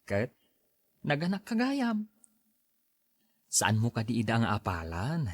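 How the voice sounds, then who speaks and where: A man's voice: medium pitch, huge pitch swings, slow, dry recording, studio quality, heavily acted. A man with an Ilocano Filipino accent playing an easygoing husband and neighbour in a conversational audio drama.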